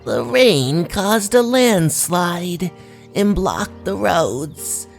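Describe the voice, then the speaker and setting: A woman playing a gentle old grandmother in a dramatised story, speaking in a soothing, reassuring way. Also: lowish voice, sing-song, drawn-out words, vocal fry, soft background music, full character voice.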